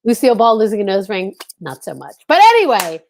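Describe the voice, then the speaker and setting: A woman's voice: mid-range voice, wildly swooping pitch, fairly quick, gravelly, a little mellow. A woman co-hosting a show with casual, effusive and teasing banter. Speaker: co-host.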